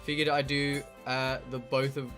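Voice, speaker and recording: A man's voice: medium pitch, little pitch movement, moderate pace, a bit bright, soft background music. A man livestreaming a visual novel, chatting casually and reading dialogue aloud in a rambling way with casual swearing. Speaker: streamer playing a visual novel.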